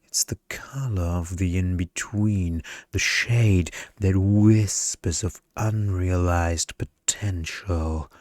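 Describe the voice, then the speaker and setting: Storybook ASMR vampire played by a man: low, slow, smug, pompous, boringly philosophical. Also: a little mellow, no echo, studio quality. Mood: pompous droning. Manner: dramatic, philosophical droning.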